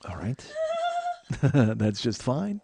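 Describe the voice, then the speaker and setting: A man hosting a podcast, riffing in a profane, excitable comedic monologue into a studio microphone. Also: mid-range voice, very slow, creaky, very noisy. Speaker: podcast host.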